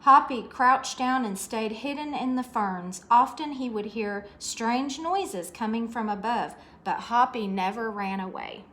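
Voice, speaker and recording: A woman's voice: fairly high pitch, unhurried, hardly any pauses, bright, forceful tone. A woman reading a picture book aloud to young children, expressively, with chatty asides and questions to her listeners.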